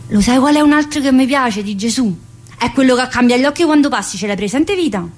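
Actress as a high-voiced, chatty woman prison inmate. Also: lively intonation, quick pace. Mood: chatty, playful. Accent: central-southern Italian. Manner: colloquial, rambling, full of interjections. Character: talkative, earthy, cheeky woman prison inmate. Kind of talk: theatrical monologue in colloquial speech.

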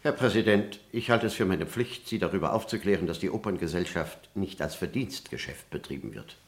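An actor playing a formal, officious board member running a meeting ceremoniously in a German radio play. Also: male voice, deep voice, lively intonation, brisk. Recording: roomy studio echo.

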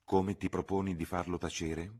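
A man's voice: low voice, flat delivery, normal speed, darkish tone. A man voicing a fussy, reproving older spinster chaperone in an audiobook reading. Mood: disapproving. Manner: mildly reproving, prim, insistent.

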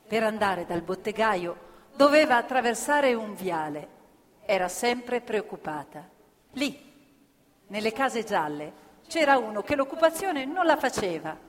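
A woman narrating scripted theatre as an actor, expressive and deliberate in her phrasing. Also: a little high. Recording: reverberant hall with live music. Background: faint live music.

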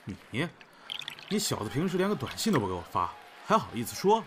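Man playing a chiding, animated big brother. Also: mid-range voice, crowd noise, close to an everyday voice. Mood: defensive, annoyed. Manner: brisk, reproachful. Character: defensive, chiding elder-brother figure. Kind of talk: audio drama dialogue. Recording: faint background noise.

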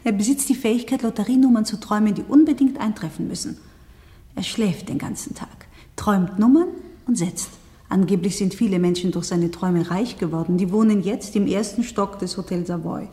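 A woman acting a composed, helpful hotel resident in a radio drama. She has a mellow, mid-pitched voice and a matter-of-fact delivery, with reverb around it. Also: a little fast, natural voice. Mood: explanatory. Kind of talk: German radio play acting.

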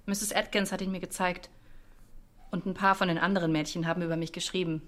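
A woman's voice: on the low side, steady tone, quick pace, fairly bright tone, lightly acted. An actress playing a naive, earnest young woman training as a wartime radio agent in a radio play, candid and curious. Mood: eager, curious.

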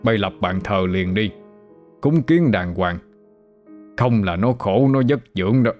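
A man's voice: on the low side, expressive, a little slow, darkish tone, soft background music. A man voicing an elderly village ritual master, stern but kindly, in a Southern Vietnamese accent, in an earnest, folksy, admonishing way for an audio story.

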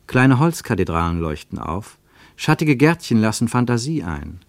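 A man narrating a literary German radio play as a reflective storyteller, with measured, lyrical phrasing. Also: mid-range voice, very animated, dry recording. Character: reflective male narrator of a literary travel account.

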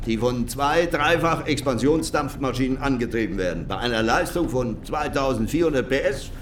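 A proud ship's captain played by a man holds forth in a radio play, formal and declamatory. His mid-pitched voice sits over faint background ambience. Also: lively intonation, normal speed, a little hiss or hum, natural voice. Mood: proud, informative.

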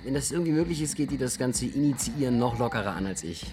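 A man's voice: medium pitch, expressive, fast talker, a crowd in the background, lightly acted. An actor playing a young man in a radio drama, telling of and searching for his missing companion. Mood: casual. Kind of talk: radio drama acting and narration.

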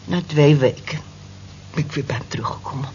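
A woman's voice: low-pitched, fairly quick, mellow, close to an everyday voice. An actress in a radio drama playing a down-to-earth, motherly woman of nearly sixty with a frank manner. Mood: wry, reassuring. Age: 50s. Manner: frank, matter-of-fact, motherly. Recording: dull lo-fi old radio recording, hiss.

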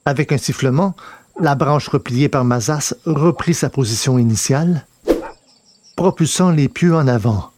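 A man narrating a scripted dramatic story in a measured, literary delivery. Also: mid-range voice. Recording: noticeable background noise.

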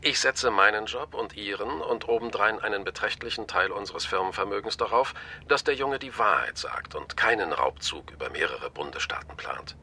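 An actor playing a shrewd media boss in a radio drama, polite but pointed, wry and authoritative. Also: male voice, fairly low pitch, moderate pace, bright, forceful tone, slight background noise. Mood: wry, decisive. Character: brisk, shrewd male media boss, wryly amused, decisive.

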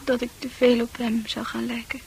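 An actress playing a dreamy, sensitive, troubled young woman in a Dutch radio play, confiding in earnest, halting, poetic speech. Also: on the high side, completely flat delivery, gravelly, a little hiss or hum. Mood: troubled, subdued.

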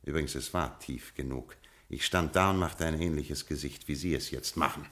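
An actor playing a cool, ironic aristocratic lord who has become a gangster boss, in an old radio crime play, with a dry, drawling delivery. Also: male voice, rumbling low, moderate pace, fairly bright tone, exaggerated voice. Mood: menacing irony.

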